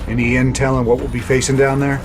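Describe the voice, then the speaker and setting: A man's voice: mid-range voice, little pitch movement, quick pace, background noise, natural voice. A man playing a weary, self-assured rebel commander in a game cutscene, laconic and folksy. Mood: grave, measured. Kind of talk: video game cutscene acting.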